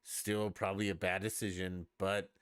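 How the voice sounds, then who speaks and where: Blunt, low-voiced man ranting on a podcast. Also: level tone, moderate pace, a bit bright, smooth, dry recording, clean studio sound. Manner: blunt, profane and emphatic. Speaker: podcast host. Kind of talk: opinionated solo podcast rant.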